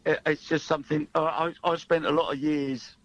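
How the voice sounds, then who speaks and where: A man in his fifties chatting as a musician guest on a radio show, halting and anecdotal, self-deprecating. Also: fairly high pitch, flat delivery, muffled recording. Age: 50s. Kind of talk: casual radio interview chat.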